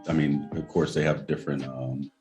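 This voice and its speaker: A man's voice: extremely low pitch, flat delivery, brisk, a little mellow, soft background music. A young man in casual podcast chat, rambling with lots of "like" and slang as he tells his school story. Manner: loose and rambling, heavy on fillers and slang. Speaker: podcast co-host.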